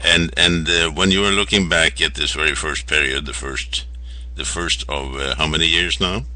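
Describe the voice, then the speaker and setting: A man hosting an informal radio interview, speaking in a halting, rambling and polite way. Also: very deep voice, fairly even intonation, pushed and bright, dry recording. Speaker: radio show host.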